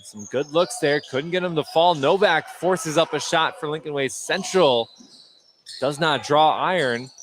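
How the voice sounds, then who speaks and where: High-pitched American basketball announcer, noisy gym. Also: male voice, unhurried, a bit bright. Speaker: play-by-play sports announcer. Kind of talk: live high school basketball radio play-by-play. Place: courtside in a school gym. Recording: noisy gym broadcast, bouncing balls behind. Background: gym ambience, basketball bounces.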